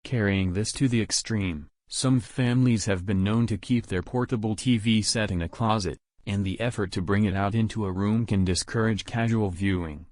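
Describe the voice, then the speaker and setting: Low-voiced man reading a passage aloud. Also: moderate pace, hardly any pauses, darkish tone, no room sound, studio quality. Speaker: model reader for exam practice.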